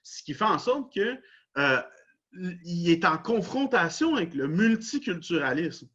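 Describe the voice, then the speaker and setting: A man's voice: sits high, big pitch swings, a little slow, a bit bright, husky. A man with a Québécois French accent hosting a podcast, explaining at length and leaning on repeated stock phrases. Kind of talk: casual discussion. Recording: dull lo-fi recording.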